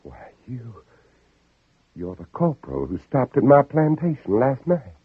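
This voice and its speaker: An actor playing an anguished Southern gentleman planter in theatrical, dramatic old-time radio style, sometimes narrating his own ordeal. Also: mid-range voice, wildly swooping pitch, unhurried, husky, dry recording, full character voice. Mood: alarmed, indignant. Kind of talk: 1940s-50s radio drama acting. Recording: old radio, dull narrow band, music behind.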